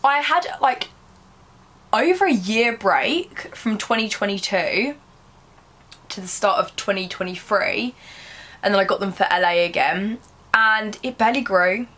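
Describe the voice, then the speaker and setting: A young British woman chatting casually to camera as a vlogger, her voice slightly croaky as she gets over a cold. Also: medium pitch, lively intonation, measured pace, a bit bright. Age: young adult.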